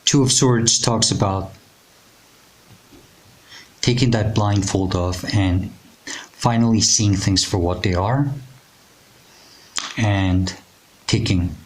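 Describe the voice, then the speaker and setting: A man with a raspy, hoarse voice giving a tarot reading, speaking in a reassuring, unhurried way with many pauses and fillers. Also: lowish voice, fairly even intonation, a little hiss or hum, rough recording. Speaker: tarot reader. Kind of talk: intuitive tarot reading to camera.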